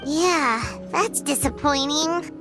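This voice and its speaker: A woman's voice: high voice, takes it slowly, music in the background. A woman voicing a small childlike fairy sidekick in a video game, chirpy, informal, eager and cheeky. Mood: let down.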